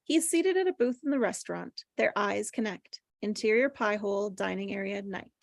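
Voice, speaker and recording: A woman's voice: mid-range voice, lively intonation, brisk, vocal fry, no room sound, clean studio sound. A woman reading stage directions as scripted narration at a table read.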